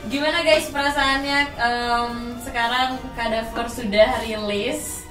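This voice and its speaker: A woman's voice: a little high, steady tone, measured pace. A woman hosting a casual interview, asking questions in an informal, colloquial way. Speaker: podcast host interviewing guests. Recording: distant, echoey room, music behind.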